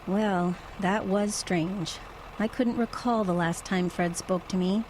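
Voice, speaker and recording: An actress plays a woman who drives for a living and tells her eerie story in a radio play. Her voice sits in a middle register. She is wry and understated, pausing as she thinks. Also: mid-range voice, steady tone, darkish tone, slight background noise, natural voice, poor audio. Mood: wry, reflective. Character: wry, low-key woman delivery driver recounting eerie sightings. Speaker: lead actress and narrator. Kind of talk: audio drama narration and dialogue.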